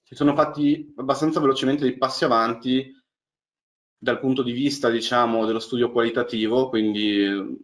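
A man's voice: medium pitch, steady tone, moderate pace. A man, giving a technical lecture over a webcam and talking through slides with many fillers and restarts. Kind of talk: technical lecture on deep learning. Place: small indoor room. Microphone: webcam on a video call.

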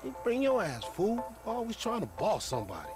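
A man's voice: sits high, wildly swooping pitch, brisk, over music. A man voicing a brash, trash-talking young hustler in a video game, cocky, slangy and mocking. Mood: cocky, dismissive. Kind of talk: video game cutscene acting.

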